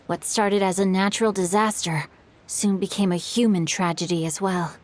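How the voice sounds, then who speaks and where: A woman's voice: medium pitch, fairly even intonation, normal speed, natural voice. A woman voicing a courteous, well-read young nobleman in a video game, recounting history. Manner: courteous storyteller tone.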